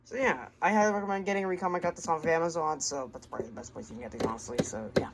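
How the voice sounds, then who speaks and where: An American woman casually showing a hobby collection, speaking in a halting, unscripted, plain way on an amateur home recording. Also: sits low, a crowd in the background. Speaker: radio collector presenting the collection. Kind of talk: casual hobby show-and-tell narration.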